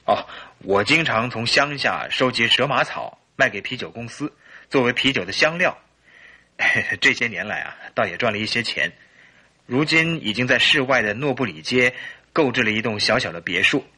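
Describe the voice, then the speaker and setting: A man's voice: medium pitch, expressive, normal speed, pushed and bright, slightly rough, exaggerated voice. A man acting a polite, earnest, troubled English husband in his early thirties in a Chinese radio drama. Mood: polite, explanatory.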